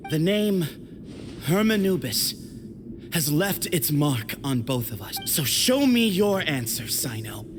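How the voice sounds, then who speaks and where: An actor playing a bold, earnest young desert warrior in a video game, speaking in a dramatic, measured way. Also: male voice, on the high side, very animated. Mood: defiant. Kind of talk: video game character acting. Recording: clear background noise.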